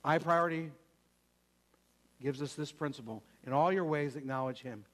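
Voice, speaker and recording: A man's voice: fairly high pitch, moderate pace, a bit bright, low-quality recording. A man preaching a sermon emphatically, repeating phrases and putting questions to the congregation.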